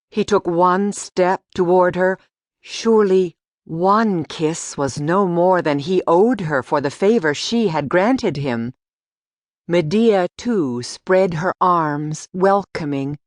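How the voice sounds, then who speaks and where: Slow, low-pitched woman storyteller. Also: no echo, clean studio sound. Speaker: audiobook narrator. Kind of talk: scripted storybook narration.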